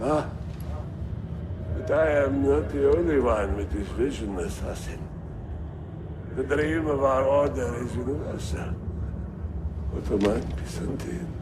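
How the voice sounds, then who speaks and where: A man's voice: medium pitch, a little slow, long pauses, close to an everyday voice. A man playing a proud, grandiose Byzantine Templar lord in a video game, with an oratorical manner. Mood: weak, dying. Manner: pompous, oratorical, declamatory. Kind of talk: dramatic video game cutscene acting. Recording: game audio with clear background noise.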